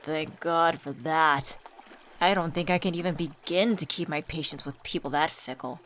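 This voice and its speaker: Woman acting young female best friend, lowish pitch, playful, affectionate, teasing, conversational ASMR roleplay. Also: normal speed, fairly bright tone, a little hiss or hum. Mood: fond, casual. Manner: playful, teasing, familiar slangy chat.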